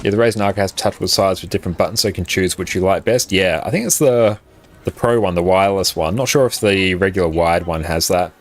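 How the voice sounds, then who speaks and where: A man with a very low voice, chatting casually and rambling with many fillers as he answers viewers on a live game stream, recorded on a compressed condenser mic at a home setup. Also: quick pace. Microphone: condenser mic on an arm, compressed.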